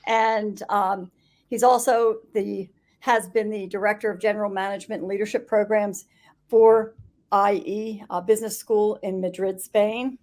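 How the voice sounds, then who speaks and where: A woman's voice: medium pitch, steady tone, moderate pace, darkish tone. A woman hosting a live business interview, introducing her guest in a friendly, slightly hesitant way. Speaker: interview host. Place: video call.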